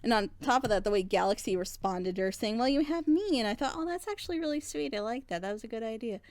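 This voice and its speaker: A woman's voice: fairly high pitch, expressive, quick pace, no room sound. A woman hosting a group reaction video, critiquing a story in a passionate, rambling way. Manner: frustrated, rambling, emphatic. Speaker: reaction channel host.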